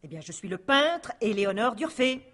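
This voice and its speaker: A woman's voice: a little high, sing-song, moderate pace, a bit bright. A woman playing a self-important, gossipy painter and neighbour in a radio crime drama, with an emphatic, theatrical delivery. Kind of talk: 1950s-style French radio crime drama acting.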